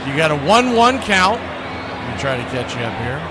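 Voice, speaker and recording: High-voiced male baseball announcer over loud noise. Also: moderate pace. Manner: folksy, shifts from conversational asides to excited calls. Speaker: baseball play-by-play announcer and stream host. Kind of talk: live radio-style sports commentary with casual chat. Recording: loud steady background noise.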